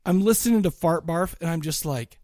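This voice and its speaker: A man's voice: on the high side, brisk, warm tone, no echo, studio quality. A man chatting casually as a podcast co-host, talking in an enthusiastic, rambling, colloquial way about music. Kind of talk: casual chat, music review.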